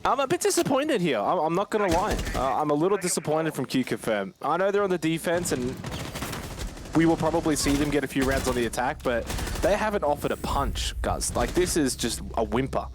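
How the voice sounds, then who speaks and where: A man's voice: on the high side, very animated, a little fast, background noise. Blunt male esports caster over gunfire. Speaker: esports match commentator. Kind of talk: live game commentary with co-caster banter. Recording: clear game gunfire behind the voice. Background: game gunfire and clicks.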